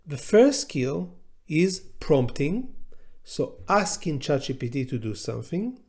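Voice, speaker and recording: A man whose first language is Hebrew, teaching a class in a measured, deliberate way, pausing often and repeating words as he thinks. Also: on the high side, big pitch swings, darkish tone. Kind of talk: recorded lecture to students.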